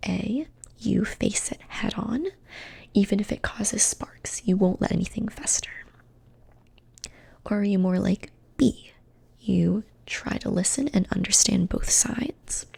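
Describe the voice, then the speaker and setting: A young woman whispering softly in an ASMR personality-quiz roleplay, slow and soothing, often repeating phrases. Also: medium pitch. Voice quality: breathy. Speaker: ASMR host.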